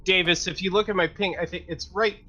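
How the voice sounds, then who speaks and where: A man's voice: high-pitched, steady tone, fairly quick, bright, forceful tone. A man playing a tabletop game, chatting casually and thinking aloud with hesitations.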